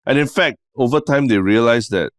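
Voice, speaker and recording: A young man with a Singaporean accent, talking through ideas in a measured, explanatory way in casual podcast chat. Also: mid-range voice, dry recording, clean studio sound. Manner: measured, explanatory, long rambling sentences. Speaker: podcast co-host.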